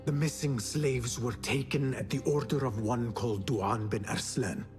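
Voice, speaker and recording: An actor playing a principled young assassin in a video game, serious and measured. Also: male voice, medium pitch, steady tone, a little mellow, soft background music, natural voice. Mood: grave. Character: earnest, principled young male assassin of a secret order. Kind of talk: video game cutscene acting.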